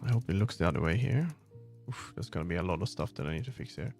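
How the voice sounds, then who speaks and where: Halting man chatting on a livestream. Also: deep voice, lively intonation, a little mellow. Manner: halting, muttering to himself while working. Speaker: livestreamer making a game. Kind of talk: casual livestream chat while working. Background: background music.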